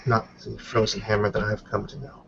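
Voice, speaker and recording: A man playing an earnest, protective female werewolf in a tabletop game, pleading in a measured, firm way. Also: on the low side, monotone, gravelly, muffled recording, noisy background.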